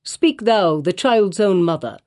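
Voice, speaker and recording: A woman's voice: fairly low pitch, expressive, a little slow, darkish tone. A woman voicing a stern, pompous elderly male colonial governor in archaic speech.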